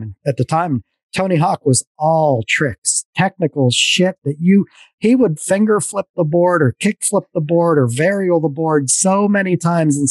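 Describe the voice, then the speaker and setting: A middle-aged man hosting a podcast over a video call, telling rambling, animated stories with many restarts. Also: fairly high pitch, no echo. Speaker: podcast host. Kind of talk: casual video-call conversation. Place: dim home room. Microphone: headset on a video call.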